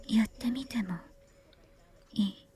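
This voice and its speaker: An actress playing a taciturn, emotionless young woman in an anime visual novel, speaking in a soft breathy whisper with halting, terse phrases. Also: on the high side, close to an everyday voice. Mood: flat, quiet.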